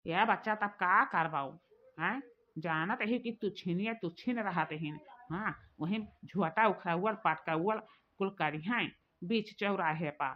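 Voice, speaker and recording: A woman's voice: on the low side, a little slow. A woman voicing a chatty old auntie on the phone, with an Awadhi accent, doting and rambling. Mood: grumbling. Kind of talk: cartoon voice acting. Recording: dull lo-fi recording.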